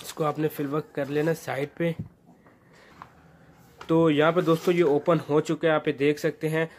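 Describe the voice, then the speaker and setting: A man's voice: fairly high pitch, level tone, moderate pace, darkish tone. A man narrating an informal hands-on repair tutorial, guiding viewers step by step in an instructional way. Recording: noticeable background noise.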